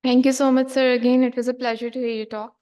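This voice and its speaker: Female session moderator; courteous, formal introductions, high voice. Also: flat delivery, fast talker, dark tone, smooth. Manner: polite, reads out credentials.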